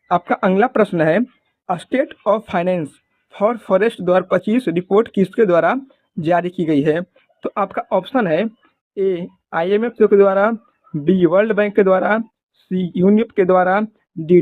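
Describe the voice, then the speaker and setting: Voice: mid-range voice, no room sound. Phone-like voice reading quiz questions. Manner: formulaic, repetitive question-and-answer delivery. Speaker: quiz presenter teaching exam questions. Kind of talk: reading multiple-choice questions and answers. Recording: muffled phone-like audio.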